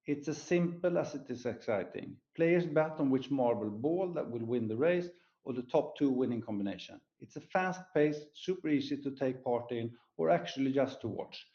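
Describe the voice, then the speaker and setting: Male corporate presenter, medium pitch, formal scripted earnings-call delivery, somewhat muffled lo-fi sound. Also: lively intonation, normal speed, warm tone. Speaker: company executive presenting quarterly results. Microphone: conference call line.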